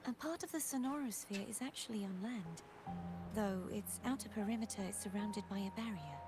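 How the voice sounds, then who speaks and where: A woman's voice: medium pitch, natural voice. A woman playing a mysterious, composed young maiden in a video game, with poised and formal phrasing. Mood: grave. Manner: poised, formal, measured wording. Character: mysterious, composed young maiden who lost her power. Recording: game music behind the voice.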